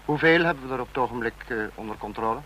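Male supreme leader of a police state, medium pitch, clipped and imperious, old radio drama. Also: very fast, pushed and bright, poor audio. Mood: curt, commanding. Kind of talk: old radio play acting.

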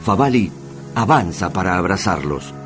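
A deep-voiced man delivers scripted radio drama narration. Music plays clearly beneath his voice. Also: lively intonation, normal speed.

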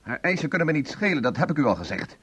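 An actor in a radio play voicing an aggrieved, insistent writer with a husky voice. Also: male voice, on the high side, big pitch swings, rapid-fire, fairly bright tone, full character voice. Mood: insistent, irritated. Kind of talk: Dutch radio play acting. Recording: dull lo-fi radio play recording.

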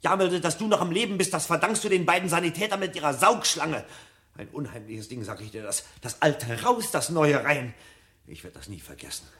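An actor playing a brooding, restless, insistent husband who secretly devours forbidden books, in a 1970 German radio drama. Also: male voice, fairly high pitch, lively intonation, a little fast, pushed and bright. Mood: exasperated, bitter. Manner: insistent, shifting from musing to exasperated.

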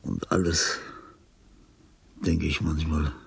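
Husky, grandiose dying old composer. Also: male voice, rumbling low, flat delivery, slow pace, dry recording, full character voice. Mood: weary, resolute. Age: older. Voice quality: breathy, husky, often falling to a whisper. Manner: theatrical, rambling, with sudden swings from murmur to outburst. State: frail, ailing. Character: dying old famous composer, restless, self-pitying, grandiose, agitated. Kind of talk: radio play monologue.

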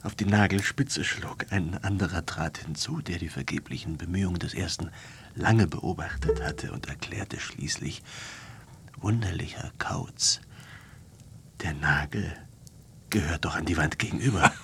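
Deep-voiced brooding sleepless artist. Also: male voice, vocal fry, a bit bright, slight background noise, full character voice. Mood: wry, musing. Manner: musing, halting storytelling. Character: sleepless brooding male artist, eccentric and lovesick. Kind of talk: German radio play acting.

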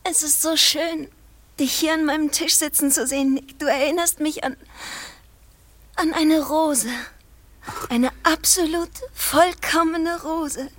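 An actress playing a flighty, theatrical young wife in a German radio play, affected and gushing, repeating phrases for effect. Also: female voice, high voice, steady tone, measured pace, no room sound. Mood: teasing, effusive. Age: young adult.

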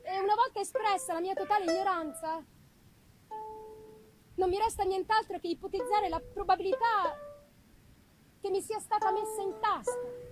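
Woman acting a high-voiced accused woman, solemnly pleading. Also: low-quality recording. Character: wretched accused young woman pleading her innocence in court. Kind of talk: dramatic gothic novel reading. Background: live music.

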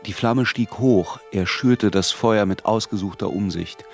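A man's voice: fairly low pitch, a little slow, creaky, a little mellow, soft background music. An actor playing a reflective narrator who recalls his youth in scripted literary narration for a radio drama. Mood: reflective, descriptive.

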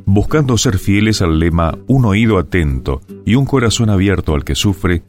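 A man reading a scripted public service radio announcement, with soft guitar music behind him. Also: low-pitched, animated, normal speed. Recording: music bed under the voice.

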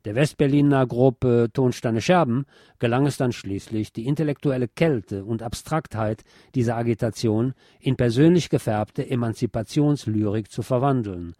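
A man presenting a scripted cultural history piece in a measured, articulate, academic manner. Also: medium pitch, mellow, dry recording, clean studio sound. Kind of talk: scripted essayistic narration on music history.

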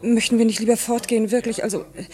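A woman in a radio play, playing an artist's serious, skeptical daughter, with a measured, hesitant and earnest manner. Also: on the high side, steady tone, crowd noise, close to an everyday voice. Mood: uneasy. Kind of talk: German radio play acting.

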